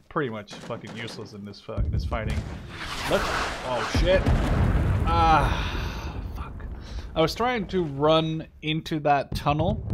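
A man's voice: mid-range voice, very noisy. A young man giving casual, rambling commentary over his own gameplay, now and then swearing at surprises. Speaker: gaming channel host. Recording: game gunfire and artillery behind voice.

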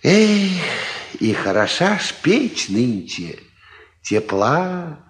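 A man's voice: on the high side, very animated, extremely slow, exaggerated voice. An actor playing a kindly old peasant grandfather in a Soviet radio drama, grumbling and muttering in a folksy way. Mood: teasing.

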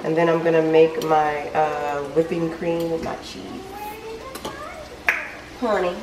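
A young woman with a low voice gives a casual cooking demonstration. She talks slowly and informally while busy at the stove. The recording is roomy, with clear kitchen noise. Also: fairly even intonation. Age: young adult. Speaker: home cooking vlogger. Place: home kitchen, indoors. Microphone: camera mic a few feet away.

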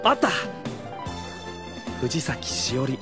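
A woman voicing a hopeful, easily flustered teenage boy in a casual, expressive audio drama style. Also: very deep voice, slow pace, over loud music, exaggerated voice. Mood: hopeful narration. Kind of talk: scripted Japanese anime-style audio drama acting.

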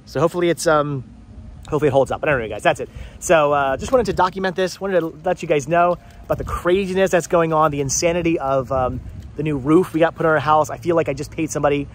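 A man in his thirties vlogging outdoors with the phone at arm's length, talking fast and rambling in an exasperated way, often repeating words. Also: a little high, slight background noise. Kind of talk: frustrated life-update vlog. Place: outdoors in a house yard. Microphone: phone camera held at arm's length.

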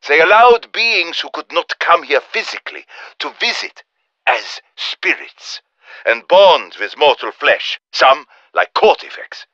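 A man's voice: fairly high pitch, very animated, unhurried, pushed and bright, no echo, muffled recording, heavily acted. A man playing an earnest, erudite middle-aged professor of demonology in a video game, speaking formally and precisely with theatrical delivery. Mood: scholarly, ominous. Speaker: video game character, scholar adviser. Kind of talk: dramatic game voice acting, radio briefing monologue.

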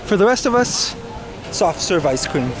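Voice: mid-range voice, sing-song, measured pace, noisy background. A travel vlogger chatting casually and enthusiastically while showing the surroundings. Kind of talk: casual walk-around travel commentary.

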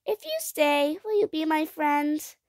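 A woman's voice: high voice, measured pace, a little mellow, dry recording, studio quality, pitched above the performer's own voice. A woman voicing a passionate young girl in a storybook, pleading insistently. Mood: hopeful, pleading.

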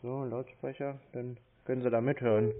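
A man's voice: medium pitch, little pitch movement, moderate pace. Man on a tinny phone line. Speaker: scam-baiting show host posing as a customer on the phone. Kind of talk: casual phone conversation.

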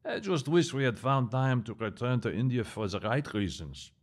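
An actor in a radio drama playing an ailing, mild missionary husband, subdued and unhurried. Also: male voice, mid-range voice, animated, close to an everyday voice. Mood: wistful.

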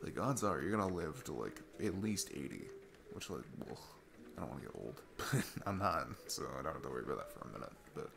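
A man's voice: rumbling low, very animated, fast, vocal fry, noisy background, exaggerated voice. A young man playing an easygoing, earnest divorced dad on a date, chatting in a casual, rambling ASMR roleplay style. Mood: candid, amused. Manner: rambling, informal, chuckling often. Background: music.

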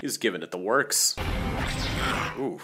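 A young man with a deep voice talks through an episode as a reviewer. His wording is casual and slangy, like someone chatting at home, and there is clear music underneath. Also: animated, a little slow. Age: young adult. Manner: informal, slangy. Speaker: reviewer and host of a reaction show. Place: small home room, indoors.

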